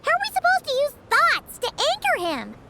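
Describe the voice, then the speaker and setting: A woman's voice: very high-pitched, huge pitch swings, moderate pace, very noisy, full character voice. An actress voicing a small, chirpy floating companion girl in a game, quippy and curious. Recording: loud game music behind.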